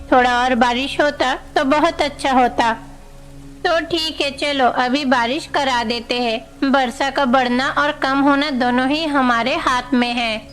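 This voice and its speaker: Woman voicing a high-pitched playful young boy god. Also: a bit bright. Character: young boy gods, playful divine brothers. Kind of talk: animated devotional story dialogue. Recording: long reverb, music underneath.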